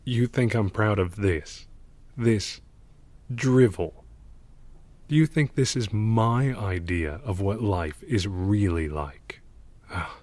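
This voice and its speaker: A man's voice: lowish voice, slow, a little mellow, dry recording. A man playing a gloomy, cynical older mural painter in an audio drama, with a sardonic, world-weary, grumbling manner. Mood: bitter. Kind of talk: audio drama acting and first-person narration.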